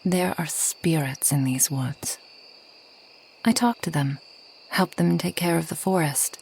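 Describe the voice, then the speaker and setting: A woman playing a reclusive, ageless forest witch in a soft ASMR roleplay, gentle and wry, often slipping toward a whisper. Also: low voice, unhurried, creaky, a little hiss or hum, poor audio. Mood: soft, wistful. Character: reclusive, centuries-old kind but proud forest witch.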